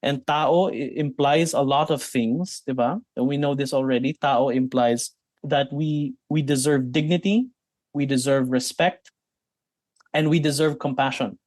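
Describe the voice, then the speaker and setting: A man's voice: medium pitch, unhurried, a little mellow, dry recording. A Filipino man with a Filipino accent, a psychologist answering interview questions in an explanatory way. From Philippines. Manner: explanatory, mixes in Tagalog terms, many restarts. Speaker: psychologist guest.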